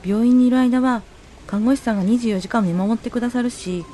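A polite, worried wife acted by a woman in an amateur radio drama. Her voice is fairly high and subdued, with music behind it. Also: brisk, warm tone, close to an everyday voice. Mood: reflective. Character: polite, worried working wife of a hospitalised husband.

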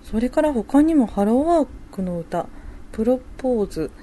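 High-voiced young working mother, acted by a woman. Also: lively intonation, normal speed, dark tone, slight background noise, natural voice. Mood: curious. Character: working young mother, gentle concerned wife. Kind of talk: amateur radio drama dialogue.

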